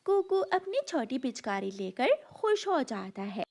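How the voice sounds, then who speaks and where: High-pitched, lively woman story narrator. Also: a little slow. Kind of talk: scripted children's story narration.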